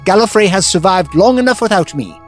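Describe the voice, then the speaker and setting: An actor playing a flippant, clever time-travelling adventurer in an audio drama, chatty, wry and breezily polite. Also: male voice, high voice, lively intonation, a little fast, soft background music. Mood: defiant, wry.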